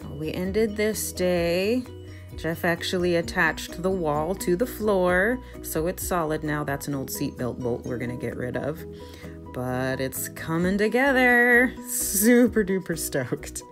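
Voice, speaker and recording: Low-voiced, bubbly woman vlogging. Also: measured pace, soft background music. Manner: bubbly, excited, giggly. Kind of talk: casual renovation vlog voice-over.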